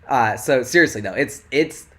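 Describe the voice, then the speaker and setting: Excitable young man, voice going hoarse. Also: mid-range voice, a little slow. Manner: rambling and excitable, heavy "like" filler. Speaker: investing livestream host. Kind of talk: casual livestream chat.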